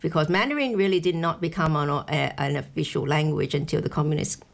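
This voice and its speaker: A woman with a fairly low voice talks through a long explanation in relaxed conversation. She restarts her sentences often and fills pauses with hesitations as she works out each point. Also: creaky. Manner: explanatory, with frequent restarts and fillers. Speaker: guest discussing a film. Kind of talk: casual conversation.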